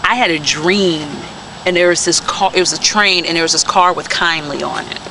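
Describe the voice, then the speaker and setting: A woman's voice: on the low side, normal speed. A woman speaking casually as an author explaining characters in an interview. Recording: amateur video, noisy background.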